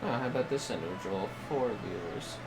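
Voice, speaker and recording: A man hosting a game livestream, chatting casually and haltingly as he muses to himself. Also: on the low side, expressive, creaky, rough recording. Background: music.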